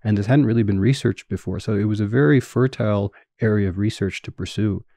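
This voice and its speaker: Deep-voiced Canadian man explaining measuredly. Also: dark tone, no echo, studio quality. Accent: Canadian English. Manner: measured, explanatory, with frequent fillers. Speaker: guest scholar. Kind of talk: academic interview answers.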